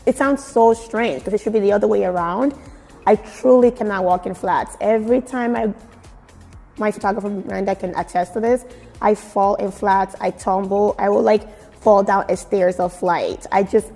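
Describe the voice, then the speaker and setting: Nigerian woman, creaky voice, echoey recording. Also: medium pitch, normal speed. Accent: Nigerian mixed with British and American. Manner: expressive, emphatic. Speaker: fashion blogger being interviewed. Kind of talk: casual interview answers. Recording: very echoey room with music. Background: music.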